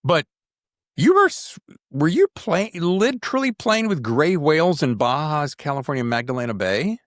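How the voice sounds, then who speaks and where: Animated middle-aged man hosting a podcast. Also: on the high side, normal speed. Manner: chatty, rambling, self-teasing. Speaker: podcast host. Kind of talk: casual podcast chat to listeners and guest.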